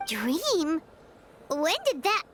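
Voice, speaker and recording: Tiny chirpy fairy sidekick, squeaky, very high, unhurried, curious, childlike, video game. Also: female voice, big pitch swings, music in the background, full character voice. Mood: startled. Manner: childlike, exclamatory, inquisitive.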